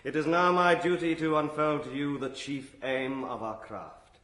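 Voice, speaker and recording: An actor playing the solemn examiner of a secret brotherhood in a radio drama, questioning formally. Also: male voice, a little high, fairly even intonation, normal speed, a bit bright, close to an everyday voice. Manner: formal, interrogating. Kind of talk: British radio drama acting. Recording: echoey ceremonial room.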